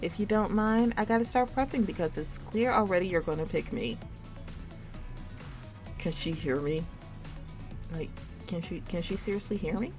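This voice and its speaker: A woman's voice: lowish voice, normal speed, pauses often. Theatrical woman reading game dialogue over music. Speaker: streamer reading a visual novel game aloud. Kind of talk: dramatic storytime reading of game dialogue, voicing characters.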